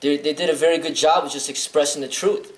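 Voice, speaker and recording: A man's voice: fairly high pitch, fairly even intonation, fast talker, reverberant, poor audio. A man from Chicago, a martial arts instructor, answering interview questions bluntly and earnestly, rambling with restarts and "um". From Chicago, United States.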